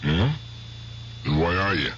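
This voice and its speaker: An actor playing a tough aging gangster in a radio drama, gruff and evasive, with slangy, blustering speech. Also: male voice, low-pitched, a little slow, fairly bright tone, dull audio. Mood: irritable, evasive. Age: older. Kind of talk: 1970s radio drama acting. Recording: noisy old radio broadcast recording.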